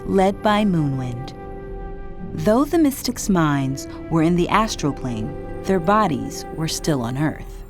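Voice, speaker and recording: A woman narrates a children's storybook in a lowish voice with lively, sweeping intonation. Her delivery is expressive and dramatic, and music plays clearly behind her. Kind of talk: scripted narration for a children's read-along.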